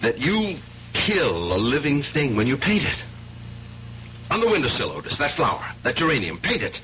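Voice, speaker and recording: A man's voice: medium pitch, wildly swooping pitch, moderate pace, pushed and bright. An American actor playing a desperate, insistent man guarding his sister in a radio drama, with an urgent, pleading delivery. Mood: goading. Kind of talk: 1970s radio drama acting. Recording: dull, hissy 1970s radio recording.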